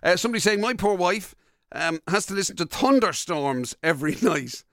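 A man's voice: sits high, expressive, normal speed, fairly bright tone, no echo, clean studio sound. An Irish man co-hosting a radio show, chatting casually and colloquially and reading out listener messages. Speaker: radio breakfast show co-host. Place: radio studio, dry close sound.

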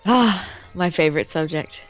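A woman's voice: fairly low pitch, lively intonation, normal speed, faint music behind. A woman co-hosting a casual podcast chat, playful and teasing in an upbeat way. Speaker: podcast co-host.

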